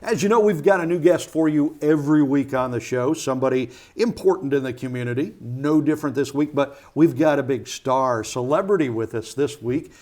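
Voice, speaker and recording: A man's voice: medium pitch, a little fast, few pauses, a little mellow. A man hosting a local TV community interview show, friendly and chatty. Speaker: TV show host. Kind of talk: casual interview chat.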